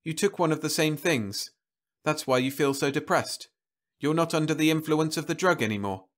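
A man reading a story aloud, voicing a young woman who speaks quietly and steadily. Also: fairly high pitch, moderate pace, voice pushed up, lightly acted. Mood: quiet, firm. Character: young woman Louise, calm and determined.

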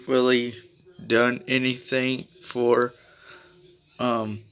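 A man chatting casually and haltingly as he films a home vlog. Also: mid-range voice, little pitch movement, fairly bright tone, clear, resonant tone. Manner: halting, informal. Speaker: video creator showing a weather radio collection. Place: small room at home, indoors. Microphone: handheld phone or camera held close.

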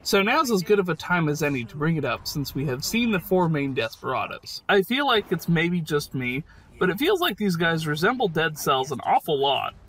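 Male video host, bright forceful tone, lively, scripted game commentary. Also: a little high, normal speed, breathy edge.

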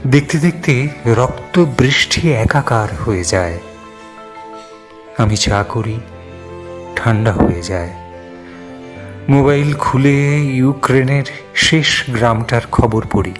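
A man reciting poetry in a solemn, sorrowful, dramatic way with lingering pauses. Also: medium pitch. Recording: soft music and rain sound underneath. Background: music and falling rain.

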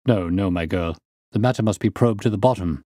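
A man's voice: deep voice, fairly quick, mellow, dry recording, studio quality. A man voicing a stern, impatient older banker in an audiobook, formal and blustering. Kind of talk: audiobook character reading.

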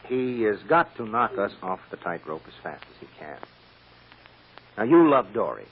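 An actor playing a firm, shrewd defense lawyer with a blunt, emphatic manner in an old-time radio drama. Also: male voice, fairly low pitch, very animated, normal speed, husky, full character voice. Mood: blunt, pressing. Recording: muffled, hissy old radio recording.

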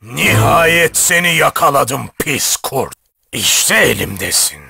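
A man's voice: mid-range voice, very animated, slow, vocal fry, pushed and bright, slightly rough, soft background music, heavily acted. A man voicing a burly, bold, protective hunter in a children's cartoon fairy tale, hearty and emphatic. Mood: triumphant.

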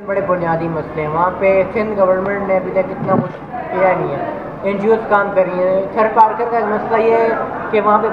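A man's voice: high voice, muffled recording. A young man chatting to a phone camera on a travel vlog, explaining things he shows outdoors. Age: young adult. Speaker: vlogger. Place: outdoors at a roadside stop. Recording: noisy outdoor phone recording.

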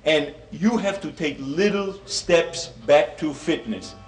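A man's voice: on the high side, takes it slowly. A middle-aged man with a Polish accent gives a comedic health talk to an audience, joking and calling them "my friends". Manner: jokey, addresses the audience as "my friends". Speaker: health educator. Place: meeting room with a seated audience. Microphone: stand mic at a distance. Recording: roomy hall, distant mic, music behind.

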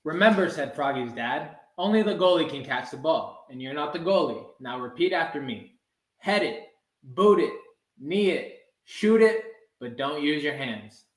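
Young man, slightly high voice, unhurried children's storybook read-aloud, echoey room. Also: lively intonation. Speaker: soccer goalkeeper reading a children's book. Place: small room with blinds, indoors. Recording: roomy indoor webcam sound.

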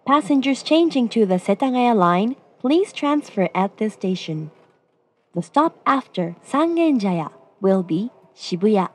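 A woman's voice: fairly high pitch, big pitch swings, slow. A woman delivering scripted train announcements with formal, courteous phrasing.

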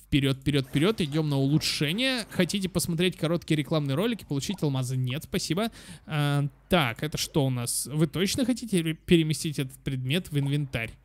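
A man's voice: fairly high pitch, lively intonation, a little fast. A young man giving casual let's play commentary for children, chatty, thinking aloud and repeating words. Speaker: gaming channel host. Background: game music.

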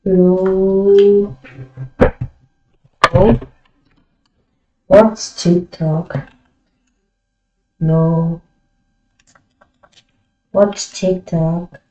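A woman gamer chatting casually in short, halting questions and replies, in a roomy, muffled recording. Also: fairly low pitch, steady tone, dark tone. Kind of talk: casual game voice chat.

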